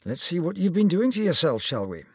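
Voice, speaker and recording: A man's voice: on the high side, sing-song, brisk, breathy edge, dry recording, studio quality, voice pushed up, full character voice. A man voicing a kindly, encouraging older male surgeon.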